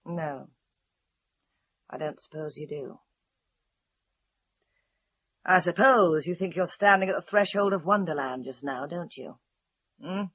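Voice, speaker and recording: Husky, embittered mother. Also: low voice, a little slow, pauses often, a bit bright, full character voice. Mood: weary, cynical. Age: middle-aged. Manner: scornful, theatrical. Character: embittered, disillusioned middle-aged mother, quick to attack. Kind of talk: British radio drama acting. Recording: dull, echoey radio play recording.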